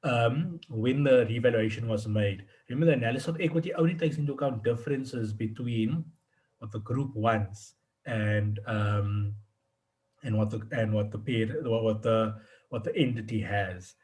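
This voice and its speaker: A man's voice: on the low side, normal speed, darkish tone. A man working as a tutor, explaining in an informal one-on-one session, often repeating and rephrasing himself as he goes. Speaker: accounting tutor.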